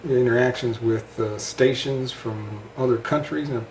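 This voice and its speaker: A middle-aged man with an American accent reminisces casually while being interviewed. His pitch sits in the middle range. The room echoes around him and there is slight background noise. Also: steady tone, a little slow, a little mellow. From United States. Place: indoor room at a home. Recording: roomy echo, faint background noise.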